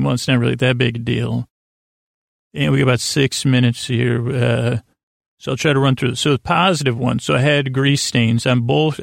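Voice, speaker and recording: A man hosting a sleep podcast, rambling in a halting, stammering, self-deprecating way that keeps circling back on itself. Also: medium pitch, creaky, no echo, studio quality. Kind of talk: rambling bedtime monologue.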